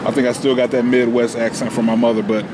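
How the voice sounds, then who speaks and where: A man's voice: mid-range voice, little pitch movement, fast talker. American man chatting over background noise. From Atlanta, United States. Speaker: podcast guest, comic artist. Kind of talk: casual storytelling interview. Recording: clear ambient background noise.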